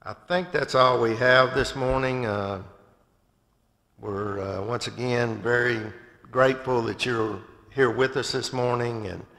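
An older man with a low, gravelly voice gives church announcements and leads a prayer, stopping and restarting often as he goes. He speaks at a lectern in an empty sanctuary. A long hall reverb carries his voice through the big room. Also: fairly bright tone. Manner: halting, with many restarts and fillers. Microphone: far camera from above, speaking at a lectern.

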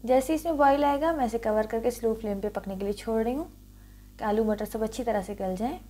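A woman's voice: a little high, fast, dark tone. A woman hosting a cooking tutorial, narrating a recipe step by step in an instructional first-person walk-through. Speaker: cooking show host.

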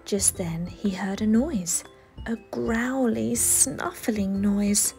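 A woman storyteller reading a children's bedtime story aloud, expressive, with dramatic emphasis. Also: medium pitch, takes it slowly, vocal fry, faint music behind. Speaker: storyteller, channel host.

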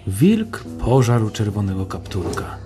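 A man's voice: lowish voice, very animated, unhurried, over loud music. A man narrating a scripted fairy tale as the storyteller of an audio drama.

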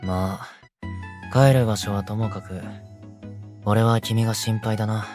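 A man voicing a considerate, gentle young delinquent who keeps the peace, in an audio drama. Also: lowish voice, fairly even intonation, unhurried, over music, natural voice. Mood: gentle, concerned. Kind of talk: Japanese boys' audio drama.

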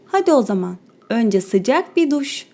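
A woman's voice: on the high side, sing-song, a little slow, warm tone, pitched below the performer's own voice, heavily acted. A woman playing a tender animal mother in a children's cartoon, soothing and encouraging.

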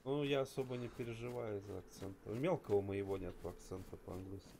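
Mellow low-ish man from Latvia, calm gamer chat, faint game noise. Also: brisk, creaky. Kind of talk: casual gaming chat.

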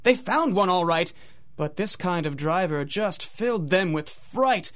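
A man's voice: sits high, lively intonation, unhurried, fairly bright tone. A man narrating a radio drama in theatrical, sing-song rhyming storybook verse.

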